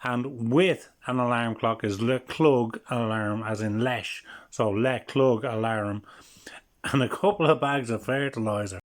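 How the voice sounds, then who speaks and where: A man's voice: mid-range voice, unhurried. A middle-aged man hosting a humorous language lesson, dry and joking as he teaches. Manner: dry, joking, laughs at his own lines. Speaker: channel host teaching Irish.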